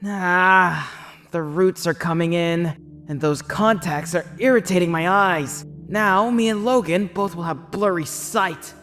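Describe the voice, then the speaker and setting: A boyish young man in a webtoon drama, played by a male actor, with a fairly high voice over background music. He is cheeky and impulsive, with a soft, caring side. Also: unhurried, heavily acted. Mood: uneasy, caught out. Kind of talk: webtoon fan dub voice acting.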